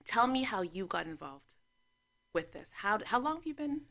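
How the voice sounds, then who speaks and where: A woman hosting a casual talk show interview, chatty and quick to laugh. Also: medium pitch, very animated, bright, forceful tone.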